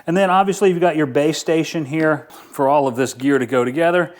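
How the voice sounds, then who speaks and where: A man's voice: on the high side, a little fast. A middle-aged man hosting a casual outdoor gear review in an informal, enthusiastic way. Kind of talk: casual product review vlog.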